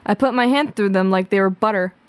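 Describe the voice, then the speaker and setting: A woman's voice: medium pitch, quick pace, smooth, dry recording, close to an everyday voice. A woman playing a blunt, commanding schoolgirl superhero sister in a radio drama. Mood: incredulous.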